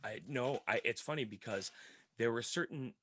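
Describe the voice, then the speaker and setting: A raspy-voiced man hosting a podcast, rambling earnestly at length in casual chat. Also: medium pitch, moderate pace, crowd noise.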